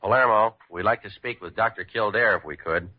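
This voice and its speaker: An actor playing a patient, reasonable radio operator in an old radio drama. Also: male voice, lowish voice, a little fast, lightly acted. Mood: businesslike. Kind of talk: 1940s radio drama acting. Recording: thin, phone-like old radio recording.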